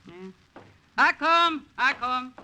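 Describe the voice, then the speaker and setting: A woman's voice: high-pitched, slow, bright, forceful tone, a little hiss or hum, exaggerated voice. A woman playing a fond, fussing elderly Italian village nana with an Italian accent in an old radio drama. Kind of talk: 1950s radio drama acting. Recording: old radio recording, clicks.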